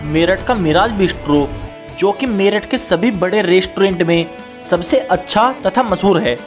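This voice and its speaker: A man reading scripted promotional narration as a vlog voice-over. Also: high-pitched. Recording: voice-over with faint guitar music.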